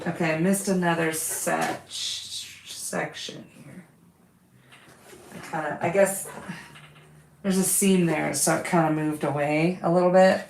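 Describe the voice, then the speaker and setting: Slow-talking woman chatting while she sews. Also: lowish voice, fairly even intonation, creaky, a little mellow, reverberant, slight background noise. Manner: chatty, reads out comments while working. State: lost her voice. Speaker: quilting livestream host. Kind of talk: casual chat with viewers while sewing.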